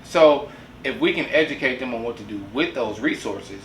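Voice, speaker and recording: A man in his forties hosts a podcast chat in an earnest, preaching way, often checking in with "you know what I'm saying?". His voice sits at a middle pitch. He is picked up from a distance in a roomy space with a faint hiss. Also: lively intonation, normal speed. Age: 40s. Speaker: podcast host. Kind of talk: casual podcast discussion.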